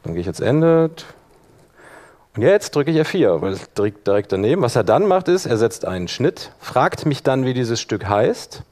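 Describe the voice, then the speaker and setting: A man's voice: mid-range voice, sing-song, normal speed, darkish tone. Casual man presenting a live workshop. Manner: casual, thinking aloud while demonstrating. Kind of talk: informal live software tutorial. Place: live talk in a conference room.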